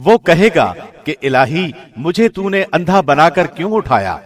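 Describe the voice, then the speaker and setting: A man reading a scripture translation from a script in a measured, formal announcer's delivery. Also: on the high side, very animated. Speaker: translation reader.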